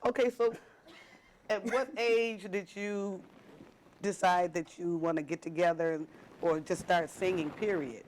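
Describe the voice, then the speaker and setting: A woman's voice: lowish voice, fairly even intonation, a little slow, a little mellow, low-quality recording. A woman hosting a local talk show, chatting casually with her guests and laughing often. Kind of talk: casual interview.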